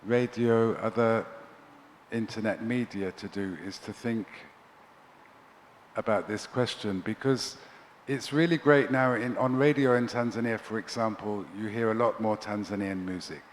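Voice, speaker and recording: A man's voice: fairly low pitch, fairly even intonation, unhurried. A man who organizes a festival, speaking as a panelist in an earnest, persuasive way. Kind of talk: panel discussion. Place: large conference hall. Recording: long hall reverb, faint background noise.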